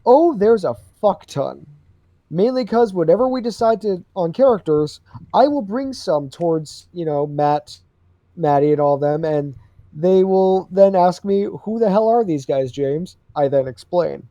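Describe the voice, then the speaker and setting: A man's voice: sits high, expressive, measured pace, mellow, no room sound. A young man hosting a live stream, chatting casually, rambling and swearing freely. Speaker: host. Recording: dull dry lo-fi stream.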